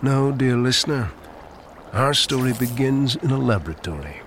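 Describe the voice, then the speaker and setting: A man's voice: medium pitch, animated, moderate pace, background noise, close to an everyday voice. A man reading scripted storybook narration as an audiobook narrator.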